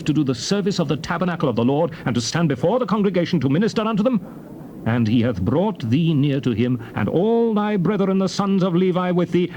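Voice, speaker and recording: Indignant bible prophet, kinda high voice, declaiming orders, bit of tape hiss. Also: male voice, a little mellow. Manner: emphatic, declamatory, rebuking. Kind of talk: dramatized scripture reading.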